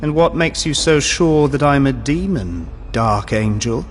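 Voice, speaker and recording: A sinister demon wearing a dead soldier's body, acted by a man, for an audio drama. He speaks slowly at a middling pitch, savouring each mocking threat, with faint music behind him. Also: animated, lightly acted. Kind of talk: audio drama villain acting.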